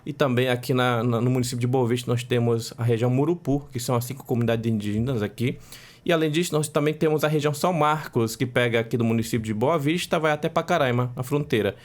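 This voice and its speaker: A man who grew up speaking Macuxi first, answering interview questions in a measured way and tagging lists with "né?". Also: medium pitch. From Roraima, Brazil. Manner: measured, listing facts with frequent "né?" tags.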